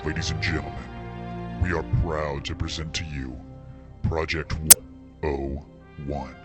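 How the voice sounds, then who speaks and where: Very deep, slow man announcing over music. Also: little pitch movement. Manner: slow, dramatic, deliberate delivery. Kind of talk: mock-epic announcer intro narration. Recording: loud music under the voice. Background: loud music.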